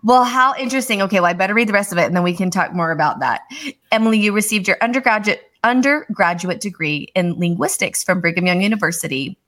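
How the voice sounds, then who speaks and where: A woman's voice: medium pitch, fast talker, fairly bright tone. A woman hosting a podcast, chatting casually in a bubbly way and laughing easily. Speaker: podcast host. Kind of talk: casual interview chat.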